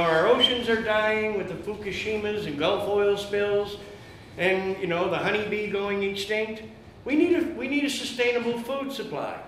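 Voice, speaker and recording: A man speaks loudly and fervently to a meeting, rallying the listeners and peppering his talk with fillers. His voice sits high. The room echoes heavily around him, with a faint hiss underneath. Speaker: guest speaker at an activist meeting. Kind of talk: impassioned public speech.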